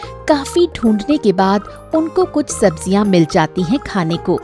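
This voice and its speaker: A woman's voice: medium pitch, very animated, measured pace, mellow. A woman narrating a scripted moral story for children as the storyteller. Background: faint music.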